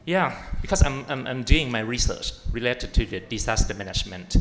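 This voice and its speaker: A man's voice: medium pitch, animated, brisk, fairly bright tone. Thai-accented male researcher, hesitant presenter, faint background noise. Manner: hesitant, many fillers. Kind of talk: panel presentation.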